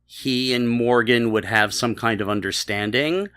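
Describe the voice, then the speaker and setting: A man co-hosting a podcast, chatting casually and critiquing in a halting, conversational way. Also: mid-range voice, a bit bright, clear, resonant tone, no room sound, studio quality. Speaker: podcast co-host. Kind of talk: casual chat, TV episode discussion.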